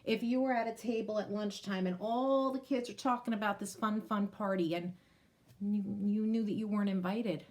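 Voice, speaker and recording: A woman's voice: medium pitch, fairly even intonation, moderate pace, darkish tone. A woman reading a picture book aloud to children, explaining it and addressing young listeners directly as a teacher would. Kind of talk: expressive storybook read-aloud with asides to children.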